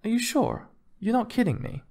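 A man voicing a wealthy, glamorous wife who is breezy, touchy and charming, gushing and quick to defend herself in an audiobook story. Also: mid-range voice, very animated, darkish tone. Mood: gushing, surprised. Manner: gushing, defensive, pet names for husband.

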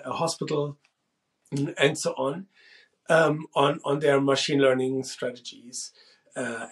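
Gravelly-voiced man musing on camera. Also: medium pitch. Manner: restarts often, thoughtful, gestures while talking. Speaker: host. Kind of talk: casual monologue to camera. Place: small room indoors.

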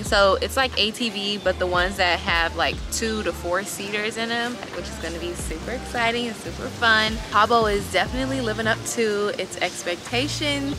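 A young woman talks to her phone camera at arm's length, held out in front of her at a sunny outdoor resort. Her voice sits at an ordinary middle pitch, bubbly and chatty, and she laughs easily. Music plays clearly underneath her. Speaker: vlogger. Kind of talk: casual travel vlog chat.

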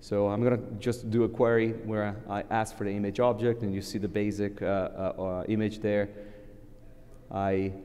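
A man in his thirties, a native Portuguese speaker, giving a relaxed live technical conference talk at a lectern, with many fillers and restarts. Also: lowish voice, moderate pace, big-room sound. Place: large conference hall, at a lectern.

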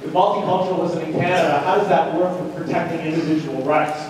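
High-voiced male lecturer in an echoing hall. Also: quick pace. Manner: animated, rhetorical questions and check-ins. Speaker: university lecturer. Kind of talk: conversational lecture with questions to students. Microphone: distant room mic. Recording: roomy echoing lecture hall, distant mic, noisy.

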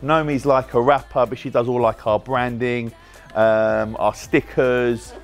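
A man's voice: mid-range voice, steady tone, measured pace, faint music behind. Chatty British man touring a workshop. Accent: British English. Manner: chatty, jokey, talks to staff on the go. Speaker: car wrap shop owner and host. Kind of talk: casual workshop walkaround vlog. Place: large bright indoor car workshop.

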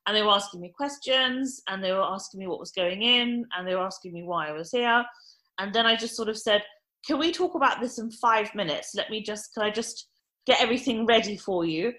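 Woman, 30s, restaurant-owner guest. Bright tone, animated storytelling, loose with fillers. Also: mid-range voice, brisk. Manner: animated anecdote-telling with many fillers. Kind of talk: podcast interview storytelling.

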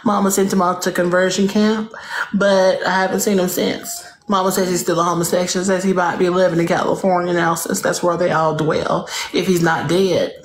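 A woman playing a prim, judgmental churchgoing woman in a live chat game, with a blunt, sharp-tongued manner. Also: lowish voice, flat delivery, moderate pace, close to an everyday voice. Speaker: livestream guest. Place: room lit purple, indoors. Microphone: phone camera on a live stream. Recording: roomy phone livestream audio.

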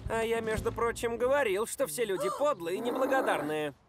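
Sulky, high-voiced little gnome played by a woman. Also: brisk, natural voice. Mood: grumbling. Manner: grumbling, contrary. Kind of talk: animated film dubbing. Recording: very loud music behind the voice.

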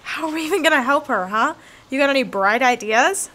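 A woman's voice: sits high, expressive, brisk, bright, forceful tone. A young American woman playing a blunt, sceptical college student in an audio drama, brusque and slangy. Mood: irritated. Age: young adult. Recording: faint background noise.

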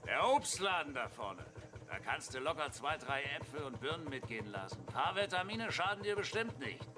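An actor playing a chatty, coarse provincial farmer in a radio play, talking in a folksy, blunt, rambling way. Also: male voice, a little high, very animated, moderate pace. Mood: prying, confiding. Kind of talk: German radio play acting. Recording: clear vehicle noise behind.

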